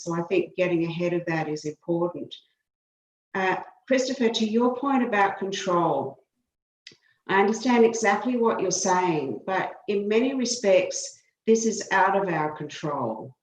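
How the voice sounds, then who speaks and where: Australian woman with roomy, low voice. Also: a little mellow. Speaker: law professor, invited expert. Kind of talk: remote committee testimony. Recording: roomy echo.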